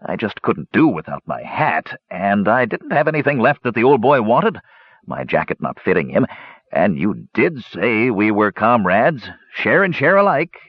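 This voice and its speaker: A man's voice: fairly low pitch, big pitch swings, normal speed, breathy edge, no echo, lo-fi sound, voice pushed up. A man voicing a sheepish, wheedling dwarf gambler in a fantasy audiobook, confessing with hedges and sighs. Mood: hedging. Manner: hesitant, hedging, sighing confessions with folksy turns.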